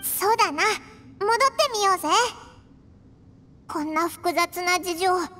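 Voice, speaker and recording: A woman voicing a small, childlike, cheeky fairy companion in an anime-style game, squeaky and blunt with boyish casual phrasing. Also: a little slow, noisy background. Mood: hesitant.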